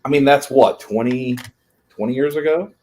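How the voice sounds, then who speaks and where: A man's voice: mid-range voice, normal speed, mellow. Chatty host in his early forties. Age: 40s. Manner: chatty, stumbling restarts. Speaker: live stream host. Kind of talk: casual interview chat.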